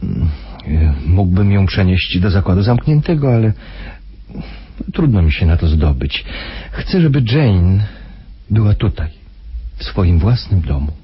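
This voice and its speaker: Husband guy, grave and hesitant, really husky, weighty pauses, radio drama, muffled recording. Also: sits low, sing-song, heavily acted. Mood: grave, measured. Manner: halting, deliberate, with weighty pauses. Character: grave, hesitant, smoothly persuasive husband of an unstable wife. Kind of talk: radio play acting. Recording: dull lo-fi radio play.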